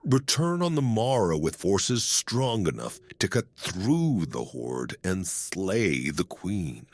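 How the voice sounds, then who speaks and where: Man acting a husky, wary old-school general. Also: low voice, very animated, slow pace, no echo, full character voice. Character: grave, wary male army general advising his lord. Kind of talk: fantasy audio drama acting.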